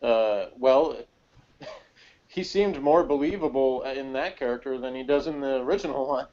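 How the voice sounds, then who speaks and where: A man's voice: mid-range voice, a little slow. A man co-hosting a casual, opinionated chat, talking in a meandering, conversational way peppered with "like". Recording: slightly dull, lo-fi voice recording.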